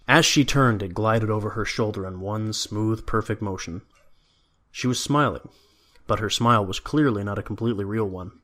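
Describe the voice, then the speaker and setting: A man's voice: lowish voice, fairly bright tone. A man reading scripted story narration as the narrator of an audio drama.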